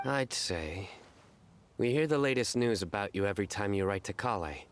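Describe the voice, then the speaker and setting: A man voicing a reserved, serious young man in a video game, terse and deadpan. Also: on the low side, moderate pace, lightly acted.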